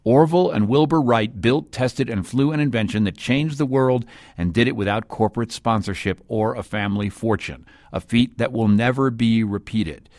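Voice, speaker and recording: A man's voice: medium pitch, normal speed, hardly any pauses, a little mellow, no echo, clean studio sound. A man with an American accent hosting a radio show, reading from a script.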